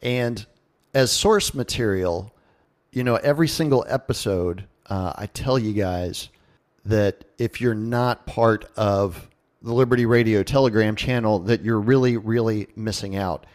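A man's voice: lowish voice, a little slow, darkish tone. A man hosting a radio show in a casual, conversational and jokey way, talking as if straight to his listeners.

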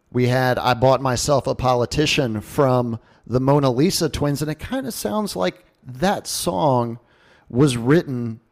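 A man's voice: mid-range voice, darkish tone. A man hosting a radio show in a casual, conversational and jokey way, talking as if straight to his listeners.

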